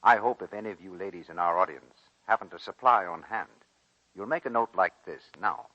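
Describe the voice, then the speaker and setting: An American man working as a 1940s radio announcer, delivering a scripted soap pitch. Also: brisk. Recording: muffled vintage 1940s radio broadcast.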